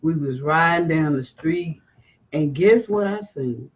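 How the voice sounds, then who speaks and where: A woman telling a travel story in casual chat, animated and dramatic, jumping to high exclamations. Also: measured pace, rough recording. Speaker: vlogger.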